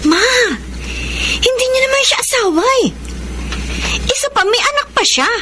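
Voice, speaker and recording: An actress playing an indignant, reproachful grown daughter in a Tagalog radio melodrama, scolding and heated. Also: female voice, extremely high pitch, big pitch swings, moderate pace, noisy background.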